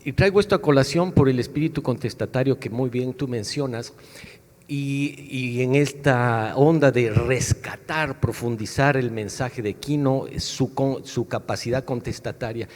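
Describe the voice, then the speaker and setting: A man speaking as a panelist in a discussion at a book fair, reflective and measured as he builds his questions. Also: mid-range voice, a little mellow. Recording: echoey hall sound.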